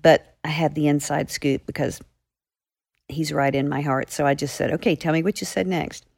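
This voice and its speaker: A woman author talks earnestly and chattily, her voice sitting fairly low for a woman. The sound is dry and close to the mic. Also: steady tone, moderate pace, creaky, a little mellow, breathy edge, dry recording. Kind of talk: podcast guest answer.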